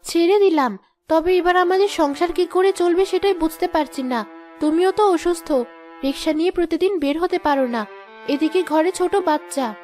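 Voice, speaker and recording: A woman's voice: extremely high pitch, normal speed, a little mellow. A woman voicing a proud, plain-spoken poor housemaid and young mother in a cartoon moral story, sounding plaintive and reproachful. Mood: dejected. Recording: music behind the voice.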